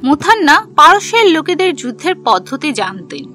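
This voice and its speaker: A woman narrating a scripted history story for children, telling it in simple, deliberate phrases. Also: high voice, big pitch swings, pushed and bright, soft background music.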